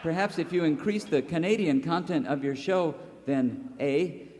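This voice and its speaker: A man's voice: fairly high pitch, moderate pace, darkish tone. A playful, folksy man doing exclamatory live comic banter as a radio show sidekick. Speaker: comedy radio show cast member, sidekick. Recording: live stage hall reverb, faint noise.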